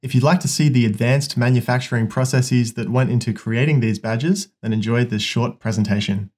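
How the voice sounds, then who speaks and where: A man's voice: medium pitch, fairly quick, a little mellow. A young man, casually explaining an electronics project in a workshop in a friendly, upbeat way. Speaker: electronics presenter.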